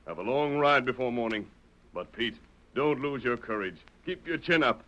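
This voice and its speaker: An actor playing a righteous, resolute masked rider of the West in an old-time radio drama, with a clipped, authoritative delivery. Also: male voice, medium pitch, expressive, normal speed, a bit bright, lightly acted. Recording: dull lo-fi old radio recording.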